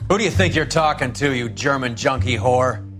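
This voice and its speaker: A man's voice: mid-range voice, moderate pace, close to an everyday voice. Casting: male actor as swaggering American vice cop, 1940s crime game, slangy and sneering, mid-range voice, music behind. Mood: menacing. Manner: cocky, slangy, needling. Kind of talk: scripted game acting.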